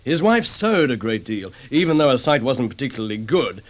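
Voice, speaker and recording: An actor playing a calm, methodical detective sergeant laying out a case in an old radio drama. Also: male voice, mid-range voice, lightly acted. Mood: measured. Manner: measured explaining. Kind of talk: vintage radio drama acting.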